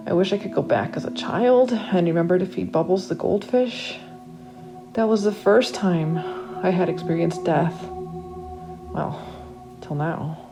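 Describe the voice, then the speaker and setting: A woman's voice: fairly low pitch, gravelly. Woman acting a trapped horror-story narrator over music. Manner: dramatic, confiding inner monologue. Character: trapped narrator waking after accident, wry then panicked. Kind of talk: first-person horror story reading. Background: original music.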